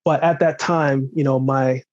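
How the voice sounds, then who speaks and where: A man's voice: medium pitch, fairly even intonation, unhurried, darkish tone, no echo, muffled recording. A man from Jamaica telling stories as a podcast guest, easygoing and laughing often, often checking in with "right?". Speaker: podcast guest, former sprinter. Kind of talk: conversational storytelling.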